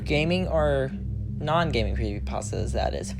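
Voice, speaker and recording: A low-pitched woman reads and discusses creepypasta stories. Her delivery is informal and a little halting, and music plays clearly behind her. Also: expressive. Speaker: host and reader of a horror story series. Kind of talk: creepypasta narration and casual commentary.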